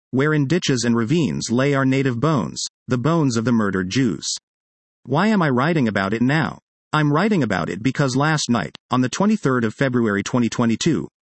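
A man reading a published article aloud in an earnest, measured way, now and then correcting his own pronunciation of place names. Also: mid-range voice, no room sound. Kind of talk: read-aloud article narration.

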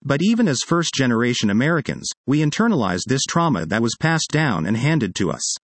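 A man's voice: medium pitch, big pitch swings, brisk, no echo. A man reading a written article aloud as scripted narration.

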